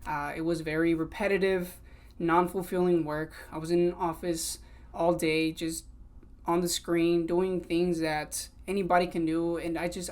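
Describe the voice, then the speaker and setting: A man in his twenties from California, also raised in Mexico, hosting a solo podcast episode in an earnest, conversational way and switching between English and Spanish. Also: a little high. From Sacramento, California, also raised in Mexico. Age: 20s. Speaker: podcast host.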